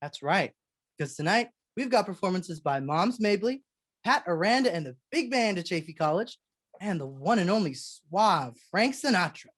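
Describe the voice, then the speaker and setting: A woman's voice: fairly low pitch, expressive, moderate pace. A woman playing an inquisitive 1940s radio co-host and announcer, with a theatrical scripted delivery. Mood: theatrical, curious.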